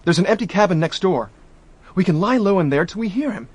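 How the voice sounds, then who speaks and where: An actor playing a brash, excitable young American diplomat with slangy speech. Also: male voice, high-pitched, brisk, husky, dry recording. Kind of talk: radio drama acting.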